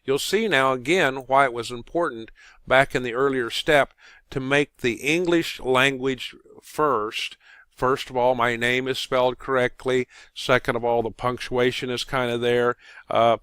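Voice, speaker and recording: A man presenting an informal screen-recorded tutorial, talking haltingly as he thinks aloud through each step. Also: mid-range voice, steady tone, a bit bright, clear, resonant tone, dry recording.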